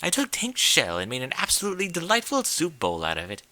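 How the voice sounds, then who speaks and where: A man's voice: fairly high pitch, wildly swooping pitch, quick pace, bright, forceful tone, dry recording, voice pushed up, exaggerated voice. A man voicing a prim, fussy female pony in an audiobook, with genteel, theatrical, chiding diction. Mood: chatty, chiding. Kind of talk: audiobook character voice.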